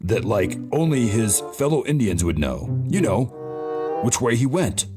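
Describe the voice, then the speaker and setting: An earnest young camp counselor in a radio play, acted by a man, with a mid-pitched, very varied delivery. He rambles hesitantly through his explanations. Music plays clearly behind him. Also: very animated, a little slow. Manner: hesitant, filler-heavy. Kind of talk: amateur radio play reading.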